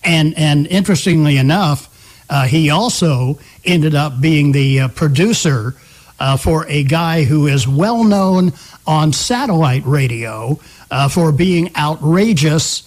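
A man's voice: fairly high pitch, takes it slowly. A man hosting a live talk radio show, chatting conversationally on a close studio microphone.

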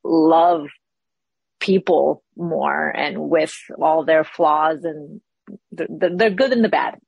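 A woman's voice: low-pitched, slow, vocal fry, husky, no room sound, muffled recording. A woman actress from Peru, a guest in a casual interview, talking thoughtfully and searching for words with frequent restarts.

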